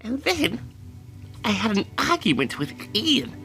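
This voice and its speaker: A pompous, indignant eccentric played by a man delivers a comic audio-log monologue. The voice is very creaky and lurches theatrically up and down in pitch, with faint music behind it. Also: huge pitch swings, measured pace, bright, forceful tone, exaggerated voice. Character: pompous, easily affronted eccentric man keeping an audio diary. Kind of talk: comic rambling monologue to an audience.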